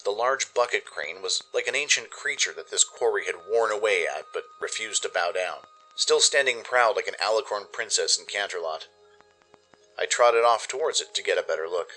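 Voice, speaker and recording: Bright, forceful low-pitched male story narrator. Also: expressive, moderate pace, faint music behind, rough recording. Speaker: narrator and producer. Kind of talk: scripted dramatic story narration.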